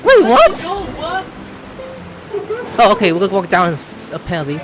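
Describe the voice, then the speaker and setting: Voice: mid-range voice, wildly swooping pitch, measured pace. A game streamer giving casual, rambling commentary, thinking aloud while playing. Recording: game music behind the voice.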